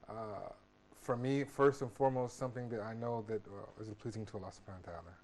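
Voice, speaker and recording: A man's voice: on the low side, steady tone, fast, a little mellow. A man from Maryland, a regular panel guest, speaking casually with many restarts and repeated words. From Maryland, USA.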